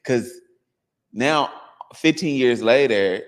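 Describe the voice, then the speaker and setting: A man in his thirties from the United States, a dance coach and guest, telling his story in an animated way with laughs. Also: mid-range voice, takes it slowly, in a large hall. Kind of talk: casual interview.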